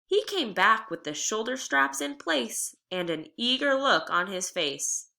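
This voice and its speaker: A woman reading a children's memoir aloud like a teacher, with expressive, deliberate storytelling delivery. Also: a little high, creaky.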